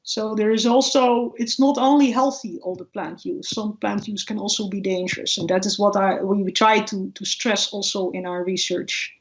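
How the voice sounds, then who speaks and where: A woman, a guest researcher telling stories in an informal interview with animated, acted-out examples. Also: medium pitch, normal speed, hardly any pauses. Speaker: guest ethnobotanist. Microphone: video call. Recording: dull, lo-fi video call.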